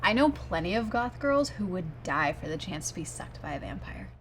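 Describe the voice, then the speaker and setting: A woman's voice: fairly low pitch, big pitch swings, normal speed, a little hiss or hum. A young woman playing a headstrong, romantic goth fiancée in a comedic short film, insistent and dramatic as she persuades. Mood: eager, defiant.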